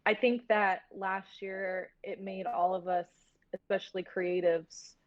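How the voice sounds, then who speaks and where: Woman, thirties, medium pitch, muffled lo-fi sound, informal, candid, rambling storyteller, interview guest. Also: flat delivery, a little slow. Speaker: film producer and guest.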